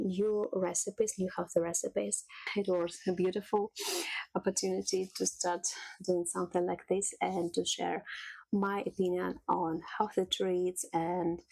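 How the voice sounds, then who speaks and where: A woman from Russia, telling her own story in a casual vlog and laughing softly between thoughts. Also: on the low side, creaky, slightly rough, no room sound, rough recording. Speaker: cooking channel creator. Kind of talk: casual personal vlog monologue.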